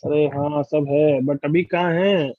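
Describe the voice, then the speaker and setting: A high-pitched man teaches an online class in a brisk, drilling way, firing questions at his students. The sound is dull and lo-fi, like a video call, and very dry. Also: steady tone, no echo.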